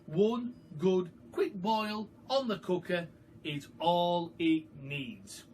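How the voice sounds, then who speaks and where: A man voicing a mischievous, excitable young boy from a storybook, with an eager, scheming manner. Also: high-pitched, extremely slow, heavily acted. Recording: far from the mic, noisy background.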